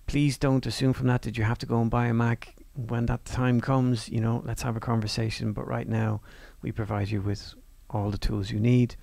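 A male lecturer talks through his slides in an easy, conversational way, pausing on fillers and restarting sentences. His voice is heavily gravelly with a lot of fry. It was recorded very dry and close, with no room sound. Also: mid-range voice, little pitch movement, warm tone, dry recording. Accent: non-Northern Irish. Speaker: university course lecturer. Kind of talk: presenting over slides.

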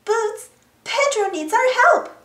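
A little girl adventurer from a children's storybook, acted by a woman, encouraging and sure of herself. Her voice is high and hugely lively in pitch, calling out emphatically in a roomy sound. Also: slow pace, recorded from a distance, full character voice. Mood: eager.

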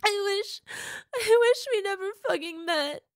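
A volatile, bitter young girlfriend, as an actress plays her, with a high voice that has gravelly fry running through it. She speaks slowly, drops to hushed whispers and flares into swearing, and the sound is close and dry. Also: dry recording. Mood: tearful rage. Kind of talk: audio roleplay monologue.

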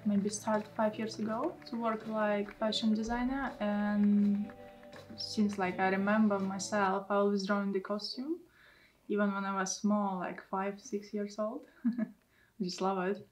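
A woman's voice: medium pitch, flat delivery, a little slow, darkish tone, recorded from a distance, soft background music. A woman in her thirties with a Russian accent, speaking simple English in a casual seated interview. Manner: simple non-native English, light laughs. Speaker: aerialist and costume maker. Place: indoor costume workshop.

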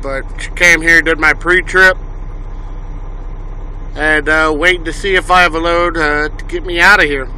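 Nasal truck driver, bright voice. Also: male voice, fairly high pitch, fairly even intonation, unhurried, pauses often, rough recording. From Illinois, USA. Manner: rambling, many uhs and ums. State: nasal from a lingering cough. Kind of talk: casual vlog tour. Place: parked truck cab, then outdoors in wind. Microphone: handheld phone camera. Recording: faint engine and wind noise.

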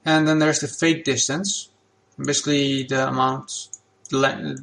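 A man whose first language is Dutch, talking through a software tutorial at his computer in a relaxed, plain step-by-step way. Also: medium pitch, a little slow, gravelly. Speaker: game asset creator.

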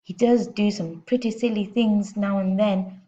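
A woman voicing a clever little girl in a storybook, calm, innocent-sounding and matter-of-fact. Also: medium pitch, unhurried, lo-fi sound, close to an everyday voice. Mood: helpful, sly.

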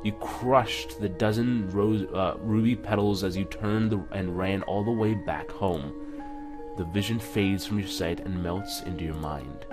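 A man's voice: sits low, measured pace. A man hosting a let's play, reading game text aloud with casual reactions and often stumbling and correcting himself. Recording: music under the voice.

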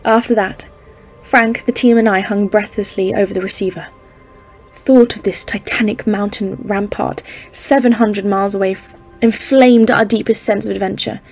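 A woman playing a cautious, stern geologist leading an expedition in an audio drama, speaking in a formal, measured, literary way. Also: medium pitch, fairly even intonation, darkish tone, faint music behind, close to an everyday voice. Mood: tense, measured. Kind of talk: dramatised narration in an audio play.

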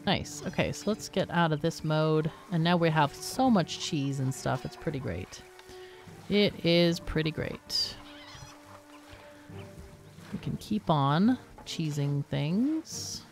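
A woman commentates casually on a game she is playing. Her voice sits slightly low and she talks at a slow pace, musing and questioning herself aloud. Faint music plays behind her. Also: expressive. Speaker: gaming video host.

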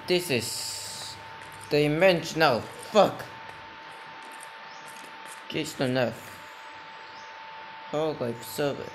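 A man mumbling halting, sweary commentary while playing a game. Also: on the high side, background noise.

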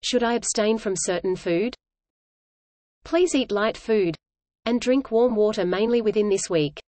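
A woman reading an English lesson dialogue aloud in a measured, even way, repeating each line for learners. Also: mid-range voice, dry recording.

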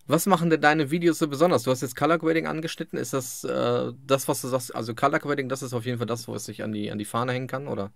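A man in his thirties hosting a podcast interview, chatty and quick, often restarting his sentences. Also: mid-range voice, steady tone, creaky, no room sound. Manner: quick, chatty, trips over his own words and restarts. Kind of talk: casual interview chat. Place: small indoor room with a chair behind him.

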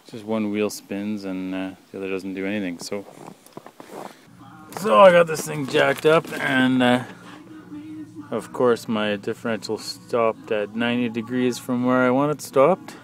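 A man talking casually off the cuff as an amateur mechanic, in a plain-spoken, halting, matter-of-fact way while filming with a handheld camera. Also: medium pitch, fairly bright tone. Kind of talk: casual off-the-cuff how-to narration. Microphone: handheld camera, speaker behind it.

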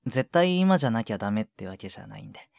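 A man playing a shy junior boyfriend in an intimate ASMR voice drama, speaking softly and hesitantly with breathy murmurs and casual politeness. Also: on the low side, very animated, rapid-fire, dry recording, studio quality. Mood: gentle, reluctant. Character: shy younger junior boyfriend, awkward at showing affection. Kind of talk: intimate ASMR situation voice drama, one side of a phone call. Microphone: close, dry microphone.

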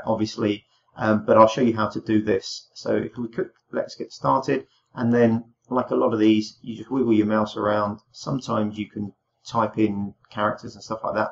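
A young man, slightly hoarse from a cold, talking through a screen-share tutorial in a relaxed way with many ums and uhs. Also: lowish voice. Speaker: crypto tutorial host. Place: small room indoors. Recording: dull lo-fi home recording.

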